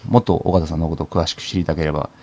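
Low, gravelly, fast-talking male host. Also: fairly even intonation, darkish tone. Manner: bantering, interjects with quips. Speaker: radio show host. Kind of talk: casual comedic chat and side commentary.

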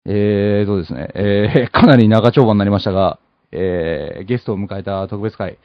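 A man hosting an internet radio talk show, bantering and joking in a lively way. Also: lowish voice, moderate pace, dry recording. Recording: dull, lo-fi compressed audio.

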